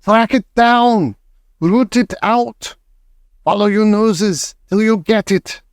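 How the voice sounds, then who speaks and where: Imperious female witch leader, read by a male narrator; shrill, lively, contemptuous, commanding. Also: a little slow, dry recording, clean studio sound. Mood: screaming. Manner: bossy, barks orders, contemptuous. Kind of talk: audiobook character voice.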